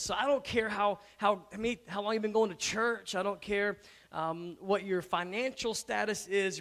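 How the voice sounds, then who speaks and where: A man in his thirties, a hoarse pastor, preaching conversationally on a church stage with many halting restarts that build to emphatic points. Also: sits high, a bit bright. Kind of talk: sermon. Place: church stage before an audience.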